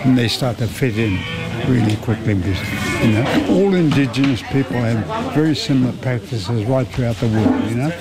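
A man's voice: medium pitch, lively intonation, moderate pace. New Zealand male interviewee, mid-range voice, casual, heavy background chatter. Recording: busy background crowd noise.

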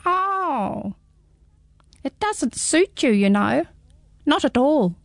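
A woman voicing a small, talkative, cheeky hedgehog in a storybook radio reading, with a high squeaky voice. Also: big pitch swings, very slow, creaky, no echo, exaggerated voice. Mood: chatty. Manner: fussy, talkative.

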